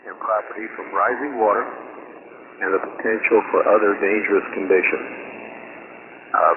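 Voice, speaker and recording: Tinny, noisy shortwave radio, low-voiced man. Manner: halting, stumbles and self-corrects on place names. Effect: shortwave ham radio transmission. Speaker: amateur radio operator relaying storm advisories. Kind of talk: reading an official weather bulletin. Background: loud radio static and noise.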